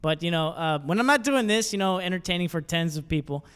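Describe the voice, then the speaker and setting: A man's voice: on the high side, animated, fast talker, fairly bright tone, clear, resonant tone. A man from South Texas doing a conversational, joking stand-up comedy routine. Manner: conversational joking with acted-out quotes. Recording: long hall reverb, live stage.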